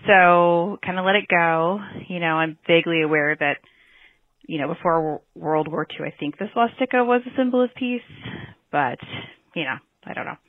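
A woman's voice: fairly low pitch, normal speed, dry recording. Woman leaving a tinny phone voicemail. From US Northwest. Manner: hesitant, many ums and you-knows. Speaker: voicemail caller. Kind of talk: recorded voicemail story.

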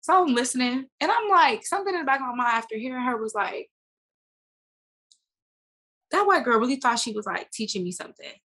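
A woman guest talking casually and informally in an interview, slangy and animated as she tells stories and quotes herself. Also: sits high, brisk, pauses often. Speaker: podcast guest, actress and business owner.

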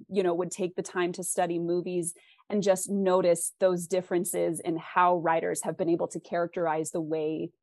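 A woman's voice: on the low side, mellow, no room sound, studio quality. A woman speaking conversationally as an interview guest, explaining writing craft articulately with examples. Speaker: interview guest, fiction author.

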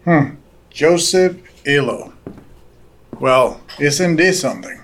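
Older man, friendly, teasing, playfully mock-stern, raised pitch, audio drama. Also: lively intonation, slow pace, fairly bright tone.

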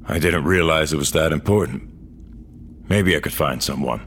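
An actor playing a world-weary, blunt old cyborg man with a wry, gruff manner in an audio drama. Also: very deep voice, normal speed, a bit bright, a little hiss or hum. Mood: guarded. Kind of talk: audio drama dialogue and first-person narration.

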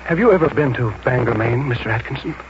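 Male actor as agitated haunted man, American accent, insistent, halting delivery, vintage radio drama, dull recording. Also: mid-range voice, lively intonation, a little hiss or hum, lightly acted. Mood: agitated, bewildered. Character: agitated, obsessed man haunted by a strange premonition. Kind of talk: 1940s radio drama acting. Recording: dull 1940s radio recording, loud music.

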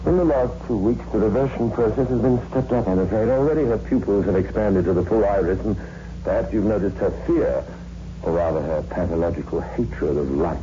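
An actor playing a grave, secretive man in an old-time radio horror drama, speaking in a measured, formal, ominous way. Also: lowish voice, close to an everyday voice. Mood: ominous, explanatory. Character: grave, secretive brother guarding a dark family secret. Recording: dull, hissy old radio recording.

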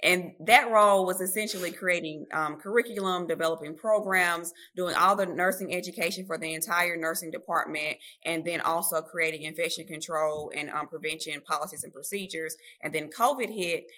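A woman guest on a podcast, telling her career story in a relaxed, casual way with fillers. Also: on the low side, fairly quick, few pauses. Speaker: podcast guest, nurse writer. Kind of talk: casual interview talk.

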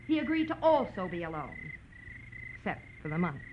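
Bossy scheming dame on 1940s radio, brisk n impatient, muffled with a bit of hiss. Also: female voice, lowish voice, sing-song. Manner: brisk, controlling, exasperated. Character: cool, bossy scheming woman, impatient with her lover. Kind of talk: 1940s radio drama acting. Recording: muffled, noisy old radio recording.

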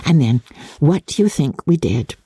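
A woman's voice: low-pitched, animated, moderate pace, mellow, husky, no room sound, heavily acted. A woman voicing a giddy, boastful teenage girl from a period novel, gushing and exclaiming in a dramatic audiobook reading. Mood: gleeful.

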